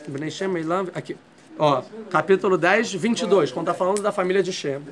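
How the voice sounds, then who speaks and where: Casual male teacher, high voice, keeps checking in with the class. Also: fairly quick, a crowd in the background. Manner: explains step by step, checks in with "tá?". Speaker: Torah teacher. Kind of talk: classroom lecture.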